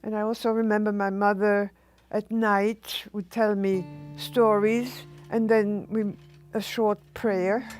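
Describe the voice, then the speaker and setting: A woman in her eighties from Austria, gently recalling her childhood with small restarts, for an oral history. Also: medium pitch, flat delivery, slow pace, faint music behind. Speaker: Holocaust survivor giving testimony.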